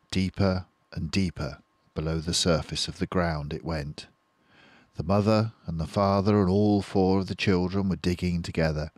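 An older man reading a children's book aloud slowly and expressively, close to the mic in a quiet room. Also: sits low, no echo. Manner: slow, expressive, doing all the voices. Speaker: storyteller.

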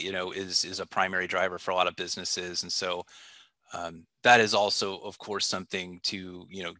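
Deep-voiced man on a headset. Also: bright, forceful tone, no room sound. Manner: thoughtful, many fillers and restarts. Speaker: warehouse technical product manager. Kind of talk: remote video interview answers. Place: small room indoors. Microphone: headset mic on video call.

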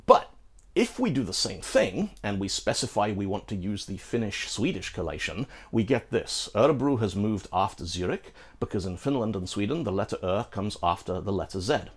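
A middle-aged British man hosting a scripted programming lesson, lively and playful, with asides to the viewer, filmed in a studio. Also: on the low side, normal speed, breathy edge. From Britain. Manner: animated, gesturing, playful asides. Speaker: programming video host. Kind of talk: scripted tech explainer. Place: studio in front of a green screen.

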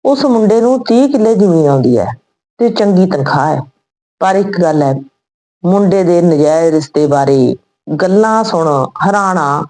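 A woman's voice: fairly low pitch, lively intonation, normal speed, mellow, natural voice, rough recording. A woman storyteller voicing a worried young woman who confides her regret in a troubled way.

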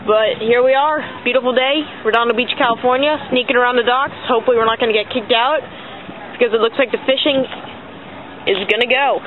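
A man's voice: very high voice, steady tone, brisk. A teenage boy with an American accent giving casual fishing commentary outdoors on harbor docks into a handheld camera. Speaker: fishing vlogger. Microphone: handheld camera microphone. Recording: tinny phone-like audio, loud outdoor noise.

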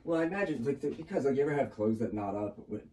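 A man's voice: mid-range voice, expressive, racing, mellow, a little hiss or hum. A man chatting casually with a friend, musing and hedging as he reasons things out aloud.

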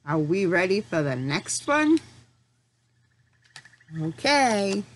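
A woman's voice: mid-range voice, very animated. Middle-aged lady teacher talking to little kids, really up and down voice, asks lots of easy questions, bit of background noise. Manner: questioning, encouraging, simple phrasing with pauses for answers. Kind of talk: interactive lesson for young children.

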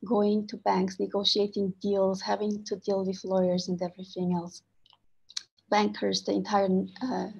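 A woman from Switzerland gives panel remarks over a video call in a level, husky voice. She talks earnest and measured, pausing on fillers, and the sound is a little dull and lo-fi. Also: medium pitch, a little mellow.

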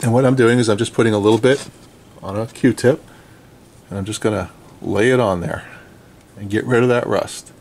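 A man giving a relaxed, chatty hands-on repair tutorial at his indoor workbench, talking to the camera while he works. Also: fairly low pitch, normal speed, pauses often, slight background noise. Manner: relaxed, chatty, frequent "okay?" and "um". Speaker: vintage radio restorer.

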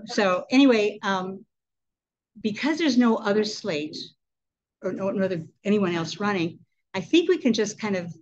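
A woman's voice: mid-range voice, expressive, normal speed, highs cut off, slight background noise. A woman in her sixties, a club president holding a meeting, talking casually with a handheld mic, chatty with laughing asides. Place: indoor meeting room. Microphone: handheld mic, webcam from a distance.

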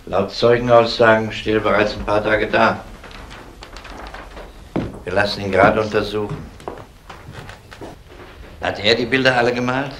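An actor playing a composed, probing police detective in a crime radio play, questioning politely and methodically. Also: male voice, lowish voice, steady tone, normal speed, pauses often, fairly bright tone, a little hiss or hum. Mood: calm, businesslike.

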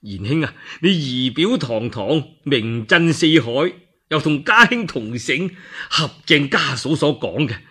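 An ingratiating male governor from a radio tale, acted by a male storyteller, speaks Cantonese-accented lines with flattering deference. He draws out his phrases with lively swings and a rough, husky edge. He is scheming and eager to please. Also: mid-range voice, measured pace, heavily acted. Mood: coaxing, obsequious.

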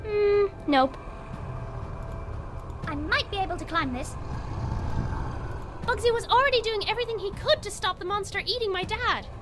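A woman's voice: very high-pitched, expressive, moderate pace, pauses often. A woman voicing a brave young girl adventurer searching for her father in a game. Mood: matter-of-fact. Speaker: voice actor. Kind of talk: game voice acting. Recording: game audio with music behind.

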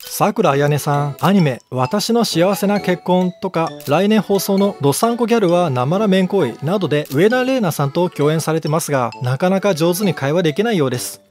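A man with a notably high voice narrates entertainment news for an online video. He reads from a script as presenter, with faint music playing behind him. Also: lively intonation, a little fast, few pauses, a little mellow.

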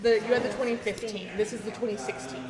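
A woman's voice: mid-range voice, animated, fast talker. A woman guiding visitors through a winery, informative and chatty. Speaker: winery guide. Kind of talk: guided tour talk. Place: large winery cellar, indoors. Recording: roomy hall from far off with a crowd.

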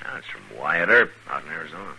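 An actor playing a calm, steady frontier marshal in a 1950s radio western. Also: male voice, extremely low pitch, fast, gravelly, exaggerated voice. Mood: grave, calm. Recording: noisy old radio broadcast recording.

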